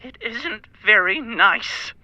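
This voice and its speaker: A woman's voice: a little high, expressive, takes it slowly, bright, forceful tone, no echo, highs cut off, heavily acted. A woman voicing a small, naive, insistent childlike character in a cyberpunk audio drama. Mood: disapproving. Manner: insistent, simple phrasing.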